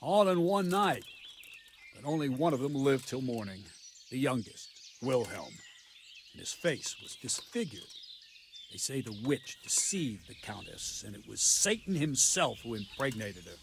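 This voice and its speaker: An actor playing a seasoned, wry guard captain in a medieval video game, speaking bluntly and with measured weight. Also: male voice, mid-range voice, animated, gravelly, a little hiss or hum. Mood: wry, grave.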